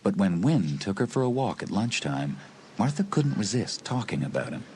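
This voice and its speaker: A man's voice: lowish voice, sing-song, normal speed, noisy background. A man reading scripted narration for a dramatised suspense audiobook. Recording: music under the voice.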